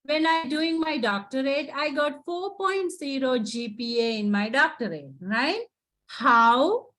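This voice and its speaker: A woman's voice: high-pitched, expressive, unhurried, a bit bright. A woman from Sri Lanka with a Sri Lankan accent, a Sinhala native speaker, giving an encouraging lecture as a psychotherapist and often tagging her points with "right?". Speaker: psychotherapist presenter. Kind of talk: lecture presentation to students.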